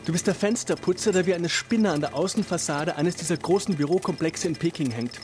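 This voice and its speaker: A man's voice: a little high, fairly quick, a little mellow, faint music behind. A man telling a radio play story in the second person, describing each action in the present tense. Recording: clear background ambience noise. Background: ambient noise.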